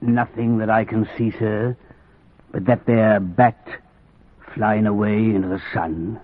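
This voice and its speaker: A man's voice: lowish voice, little pitch movement, a little slow, lightly acted. An actor playing a humble, deferential working man, folksy and obliging, in 1940s radio style. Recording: dull old radio, crowd noise.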